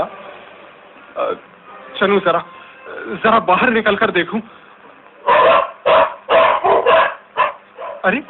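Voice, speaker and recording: Actor as anxious wandering man, high animated voice. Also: exaggerated voice. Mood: alarmed wonder. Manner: theatrical, halting, wondering to himself. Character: anxious lone man wandering and musing aloud. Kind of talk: radio drama monologue. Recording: tinny noisy narrow-band radio recording.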